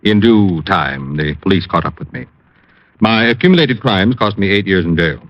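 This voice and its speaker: Middle-aged genteel ex-swindler, nervous and formal, very low voice, 1940s radio drama on a dull recording. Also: male voice, expressive, normal speed, no echo. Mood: confiding. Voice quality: clicking new false teeth. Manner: hesitant, formal, genteel and indignant.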